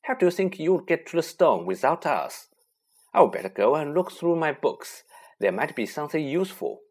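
Reader putting on a brainy schoolgirl, brisk, pitched up, lively. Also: slightly rough. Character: clever anxious schoolgirl, brisk and bookish.

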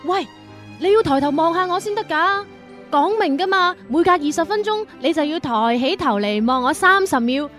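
A high-voiced young student girl, smitten and bossy, speaking in a radio play. She chats coquettishly and pushes her affection, with faint music around her. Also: lively intonation, normal speed, a bit bright. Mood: coy, demanding. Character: perky, pushy young female university student in love. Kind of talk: radio drama acting.

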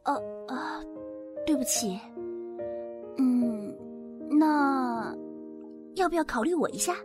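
一名女配音演员扮演活泼爱八卦的年轻女孩，语气俏皮。. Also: female voice, sits high, animated, slow, over music. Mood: bubbly. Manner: bubbly, teasing. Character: lively young girl, nosy and cheeky.

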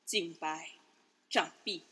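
A woman playing a composed, coldly ruthless imperial consort in a Chinese palace radio drama, quiet and controlled. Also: lowish voice, takes it slowly, slightly rough, exaggerated voice.

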